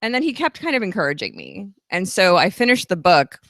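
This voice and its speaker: A woman's voice: medium pitch, huge pitch swings, fairly quick, fairly bright tone, no echo, clean studio sound. A woman in her thirties, a guest author at a live event, giving long, chatty, earnest answers. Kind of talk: casual interview at a live event.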